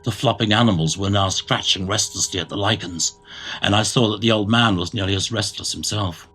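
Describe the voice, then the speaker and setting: A man giving a theatrical, dramatic narration of a gothic horror tale, phrasing it deliberately, with music behind him. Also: lowish voice, pushed and bright, husky, low-quality recording.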